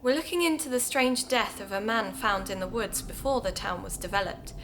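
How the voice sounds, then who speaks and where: A woman acting as a British amateur investigator narrating her audio drama podcast. She is observant and confiding, with a conversational delivery and some vocal fry at a middle pitch. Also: brisk, a bit bright, slight background noise, natural voice. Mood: polite, inquiring. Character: Gemma, keen amateur investigator podcast host, chatty woman.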